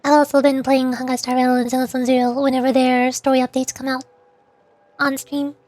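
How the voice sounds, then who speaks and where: A woman's voice: high-pitched, little pitch movement, brisk, a little mellow, no echo. A woman livestreamer chatting casually and playfully about games in a rambling, informal way. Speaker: VTuber streamer.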